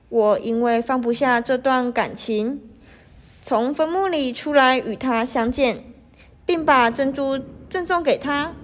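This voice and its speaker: A young woman playing the gentle, pleading ghost of a princess in an amateur radio drama. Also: sits high. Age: young adult. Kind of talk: student radio drama acting.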